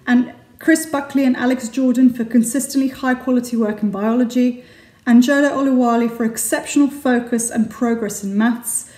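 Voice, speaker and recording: A woman in her thirties giving measured, formal school announcements to camera as a teacher, recorded in a hallway. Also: on the high side, warm tone. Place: large indoor hallway, camera at close range. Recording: echoey room.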